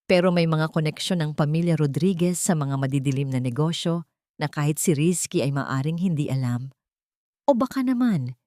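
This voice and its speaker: Serious guarded lawyer guy, read by a woman, lowish voice, mysterious, dry story audio. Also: mellow, no room sound. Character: stern, mysterious male lawyer, guarded protector.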